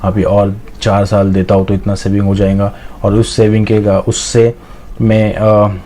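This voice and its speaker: Low, mellow-voiced man, reflective. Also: monotone, a little slow, a little hiss or hum. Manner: hesitant, reflective, mixing in English words. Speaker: motivational storyteller. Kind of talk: informal life-lesson monologue.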